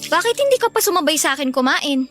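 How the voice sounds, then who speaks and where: Affectionate, wounded kid sister: very high bright voice, Filipino accent, plaintive radio-drama acting. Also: female voice, very animated, quick pace, faint music behind. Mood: timid, questioning. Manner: plaintive, earnest. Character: hurt, pleading, affectionate younger sister.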